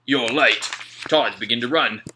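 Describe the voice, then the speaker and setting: A man's voice: mid-range voice, unhurried, background noise, close to an everyday voice. A man voicing a bossy, bad-tempered ship's officer who barks curt orders in a storybook reading. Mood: impatient. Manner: barking, curt.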